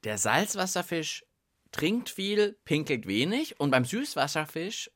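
Expressive man with swooping pitch. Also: a little slow. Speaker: co-host of children's radio show. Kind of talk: casual unscripted-sounding co-host chat.

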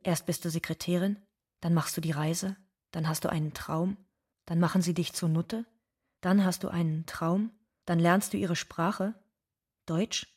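An actress playing an anxious, bitter undocumented migrant woman in a scripted dramatic monologue, with a husky voice. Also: on the low side, fairly even intonation, measured pace, darkish tone. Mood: wistful.

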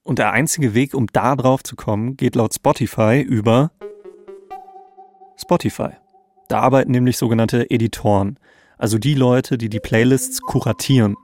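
Male podcast co-host, conversational scripted narration with clear music behind. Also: medium pitch, steady tone, moderate pace, a little mellow.

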